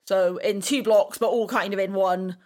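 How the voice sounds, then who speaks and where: A woman's voice: mid-range voice, steady tone, moderate pace, a little mellow. A woman co-hosting a podcast in a casual, chatty style. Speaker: podcast co-host.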